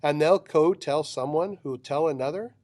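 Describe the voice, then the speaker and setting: A man's voice: a little high, normal speed, darkish tone, no echo. A man reading a children's picture book aloud with dramatic, rhythmic delivery of rhyming verse. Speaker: storybook reader.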